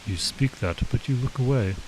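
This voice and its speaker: A gentle giant of a wise man, acted by a male narrator, solemn and patient. He speaks in clipped, broken English, like a storybook teacher. A clear hiss sits behind the voice. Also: mid-range voice, moderate pace, natural voice, low-quality recording. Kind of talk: audiobook character dialogue. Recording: hissy background noise.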